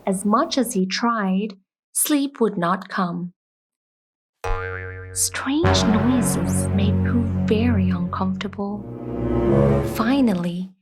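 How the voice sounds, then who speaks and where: Woman narrator for children's bedtime tales; slow, scripted delivery at medium pitch. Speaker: storyteller. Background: soft music.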